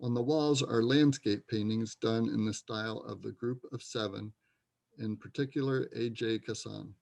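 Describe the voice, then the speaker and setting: A man's voice: on the low side, steady tone, moderate pace, gravelly, darkish tone. A man reading the stage directions as narrator of a readers' theater play, in scripted narration.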